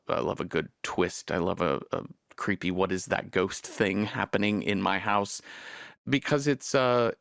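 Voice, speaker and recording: A man's voice: deep voice, creaky, no room sound, studio quality. A man speaking reflectively in an interview as a writer and creator.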